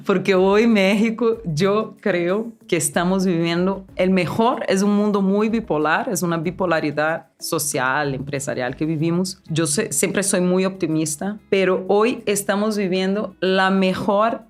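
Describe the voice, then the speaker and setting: A woman from Brazil, a businesswoman guest in a casual interview, talking in an animated, chatty way with frequent restarts. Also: mid-range voice, measured pace. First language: Portuguese.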